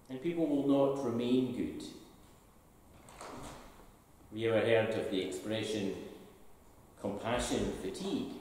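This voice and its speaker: A man's voice: mid-range voice, dark tone. An older man with a Scottish accent, a minister preaching a sermon from a pulpit and asking the congregation rhetorical questions. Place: large church interior, at a pulpit. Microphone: stand mic at pulpit, camera from a distance. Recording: echoey church hall from far off.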